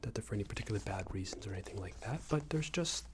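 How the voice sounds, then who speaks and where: A man playing a smarmy, passive-aggressive company boss in a soft-spoken ASMR roleplay, hesitant and condescending, often half-whispered. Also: fairly low pitch, animated, racing, background noise, close to an everyday voice. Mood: awkward, passive-aggressive. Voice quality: breathy, half-whispered, creaky. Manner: hesitant, hedging, condescending, rambling corporate small talk.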